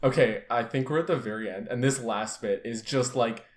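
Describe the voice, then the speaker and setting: A young adult hosts and narrates an amateur dramatic reading, casual and theatrical by turns. The mid-pitched voice has a roomy echo, with faint music behind. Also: fairly quick. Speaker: narrator and host of a group reading.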